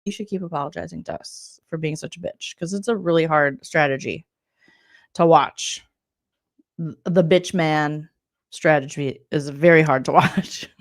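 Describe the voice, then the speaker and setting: A woman's voice: low-pitched, normal speed. A woman comedian recapping a reality show, mocking the players and slipping into exaggerated voices. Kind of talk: comedic recap with quoted impressions.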